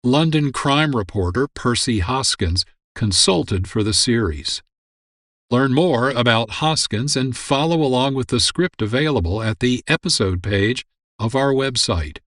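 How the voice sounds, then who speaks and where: A man giving measured, scripted documentary-style narration as a program host. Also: medium pitch, a bit bright, dry recording, studio quality. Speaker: producer and host.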